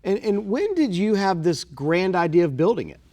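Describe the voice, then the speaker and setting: A man hosting a comedic talk-show skit, enthusiastic and animated in his patter. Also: high voice, moderate pace.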